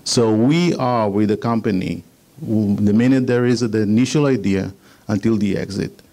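A middle-aged man from Israel with an Israeli accent, giving a business presentation on patents in measured, slightly halting English. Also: lowish voice, mellow.

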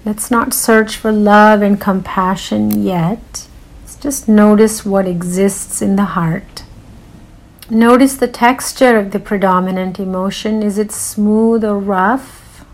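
Slow-speaking woman guiding meditation. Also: medium pitch, fairly even intonation, poor audio. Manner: soothing, unhurried, with long pauses. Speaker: meditation guide. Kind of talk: guided meditation.

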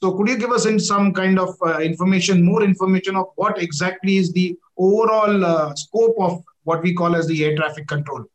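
High-pitched Indian man hosting an interview. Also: fairly even intonation, a little fast, few pauses, low-quality recording. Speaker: interview host, former air force officer. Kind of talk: conversational interview.

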